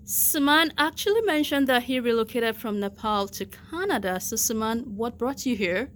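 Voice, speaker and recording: A woman hosting an online interview, her voice sitting a little high for a woman. She speaks politely and formally as she introduces and questions her guest. Faint noise hums underneath. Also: lively intonation, moderate pace, a bit bright. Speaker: host. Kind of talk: video interview.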